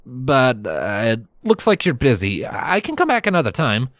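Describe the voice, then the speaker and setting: A man's voice: medium pitch, wildly swooping pitch, normal speed, no echo, clean studio sound, voice pushed up. A man playing an awkward, flustered, self-deprecating male hero who speaks hesitantly and stammers, in a fantasy story.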